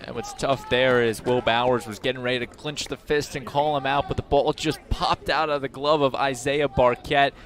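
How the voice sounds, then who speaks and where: A man's voice: mid-range voice, steady tone, moderate pace, few pauses, fairly bright tone. A man giving baseball analysis as a color commentator on a game broadcast.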